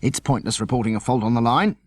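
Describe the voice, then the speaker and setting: A man voicing a brisk, grumbling father who takes charge and is easily exasperated, in a children's audiobook. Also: mid-range voice, dry recording, lightly acted. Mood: urgent.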